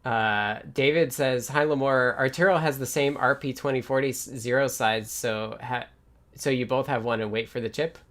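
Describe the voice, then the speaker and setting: A man's voice: mid-range voice, fairly even intonation, normal speed, a bit bright. Informal man chatting about technical things. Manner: informal, thinks aloud while answering viewer questions. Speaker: livestream host and software developer. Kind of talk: casual technical chat.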